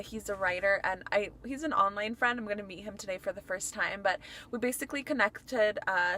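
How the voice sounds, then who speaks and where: A woman's voice: medium pitch, a bit bright, slight background noise. Young woman vlogging casually, native Spanish speaker. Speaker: vlogger and voice actor. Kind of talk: casual vlog chat.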